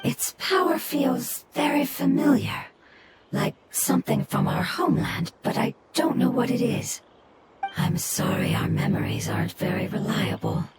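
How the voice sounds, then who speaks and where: A woman voicing a kind interpreter who speaks softly and patiently, explaining things. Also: a little high, huge pitch swings, measured pace, exaggerated voice. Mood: gentle. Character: kind gentle female interpreter for creatures. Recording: game audio over loud music.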